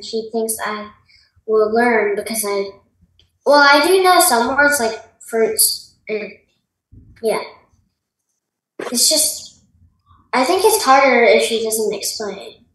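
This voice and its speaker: Slow, high-voiced girl on a distant, echoey call. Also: fairly bright tone. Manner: hesitant, restarts sentences. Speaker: student. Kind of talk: casual class question.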